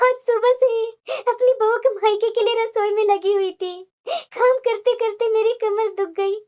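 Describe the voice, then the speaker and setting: A woman as a high-voiced, wounded elderly mother-in-law. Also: steady tone, quick pace, dark tone. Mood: tearful, humiliated. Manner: plaintive, self-pitying. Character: hurt, meek, long-suffering elderly mother-in-law. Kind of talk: Hindi family drama story dialogue.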